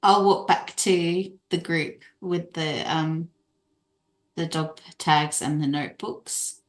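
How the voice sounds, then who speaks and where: A woman's voice: sits low, fairly even intonation, takes it slowly. A woman chatting casually as a player in a tabletop roleplaying game, saying what her character does.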